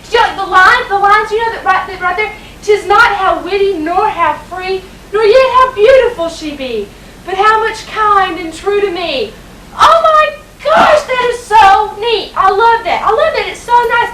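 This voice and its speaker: A woman's voice: very high voice, moderate pace, a bit bright, slight background noise. A woman playing a gushing romantic young woman who rambles breathlessly with many restarts. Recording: echoey room, far from the mic.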